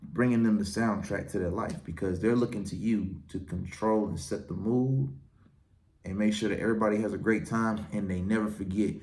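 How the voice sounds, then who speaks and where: Low-ish guy, dj host talking shop, casual and confident, voice carries. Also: fairly even intonation, normal speed, a little mellow. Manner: confident, projecting voice. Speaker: wedding and event DJ, show host. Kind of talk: casual advice talk to camera.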